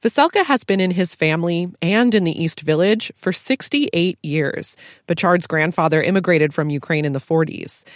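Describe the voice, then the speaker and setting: A woman reporter narrating a news feature in a measured, even way. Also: lowish voice, no room sound, studio quality.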